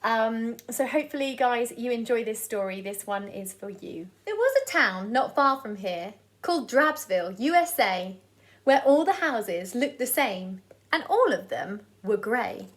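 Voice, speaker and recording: A woman reading aloud to children as a storyteller, in an expressive style. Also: fairly high pitch. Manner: deliberate, sing-song delivery of rhyming verse.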